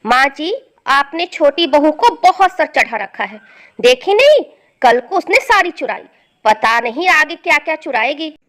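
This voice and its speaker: A woman's voice: sits high, big pitch swings, unhurried, fairly bright tone, exaggerated voice. A woman voicing a jealous, scheming elder daughter-in-law in a storybook tale, insinuating and urging. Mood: accusing. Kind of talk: storybook acting.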